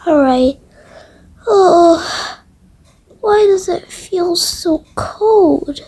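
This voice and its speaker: High, slow-talking young-sounding female roleplayer. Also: lively intonation, dark tone. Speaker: video creator. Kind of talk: improvised game roleplay narration. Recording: faint background noise.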